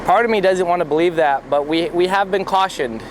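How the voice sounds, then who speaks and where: A man's voice: high-pitched, fairly quick. A man who owns a small business, speaking conversationally in an interview. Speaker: small heating fuel business owner. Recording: clearly noisy location sound.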